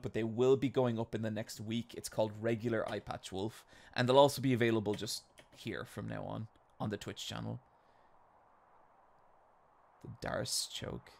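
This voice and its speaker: A man's voice: mid-range voice, gravelly. A man in his thirties with an Irish accent, chatting casually with his live chat while playing a game in his bedroom. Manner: chatty, reads and answers chat, swears casually. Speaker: streamer. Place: home bedroom. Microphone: desk mic with webcam.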